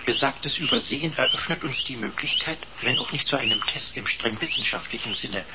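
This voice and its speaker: An actor playing a pompous, servile computer assistant in a sci-fi radio play, speaking in stilted, flowery, over-formal phrases. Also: male voice, medium pitch, expressive, a little fast, heavy background noise, natural voice. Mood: pompous, deferential.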